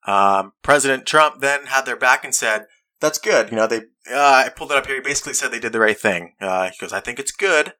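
A man's voice: medium pitch, a little fast, pushed and bright. A young man hosting a casual talk show, earnestly arguing his point and reading quotes aloud. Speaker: podcast host. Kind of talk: casual debate chat.

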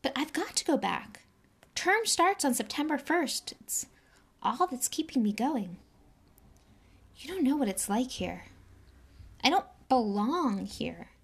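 An earnest young boy wizard, read by a woman, quick and hushed, stumbling over his words when caught off guard. The voice sits a little raised and moves freely, with a slight gravelly edge. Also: high-pitched, big pitch swings, voice pushed up, exaggerated voice. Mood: pleading. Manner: hurried, whispering and stammering.

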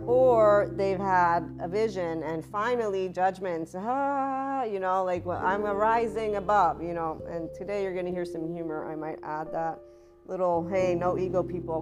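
Woman host, slightly rough low voice, chatty and tangent-prone, over loud music. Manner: rambling, chatty, with asides. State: slightly rough, deeper voice than usual. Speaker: spiritual podcast host. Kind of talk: casual monologue.

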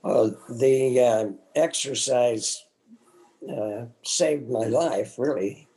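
A man's voice: medium pitch, extremely slow, mellow, rough recording. A man in his seventies casually recalling his own experience as an interview guest, plain-spoken and hesitant. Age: 70s. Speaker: interview guest, host's father. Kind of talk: casual personal recollection.

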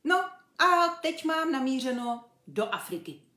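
Storybook chimp, male, young, cocky show-off played by a woman; very animated, pushed-up chatter in an echoey room. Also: high-pitched, pitched above the performer's own voice, exaggerated voice. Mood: self-satisfied. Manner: brash, chatty, showing off. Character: cheeky boastful young male chimpanzee, circus runaway. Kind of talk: children's story character reading. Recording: roomy echo.

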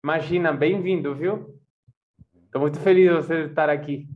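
Man hosting, slightly high. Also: fairly even intonation, normal speed, phone-quality sound. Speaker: podcast host and classical guitarist. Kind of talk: podcast hosting.

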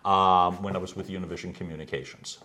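A middle-aged man from the United States, a voice coach being interviewed at home, who talks loudly and animatedly and laughs easily. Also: deep voice. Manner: loud, animated storyteller with quick laughs. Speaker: guest, executive voice coach. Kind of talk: conversational interview. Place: his home.

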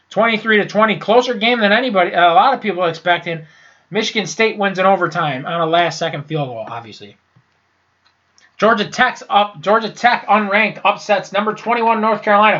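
Congested, foul-mouthed young man with a bright voice. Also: sits high, dull audio. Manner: profane, blunt and rambling, with frequent restarts. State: congested with a sinus infection. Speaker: sports podcast host. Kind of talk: casual solo sports recap.

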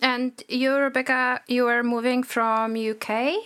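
A woman hosting a podcast panel, introducing guests and asking questions in a friendly, measured way. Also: on the high side, flat delivery, a bit bright, clean tone. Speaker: podcast host.

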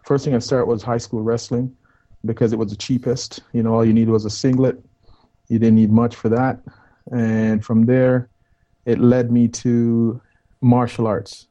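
A man in his fifties from Jamaica, with a Jamaican accent, telling his life story as an interview guest in a rambling, relaxed way, repeating words and saying "man". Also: medium pitch, unhurried, lo-fi sound. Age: 50s.

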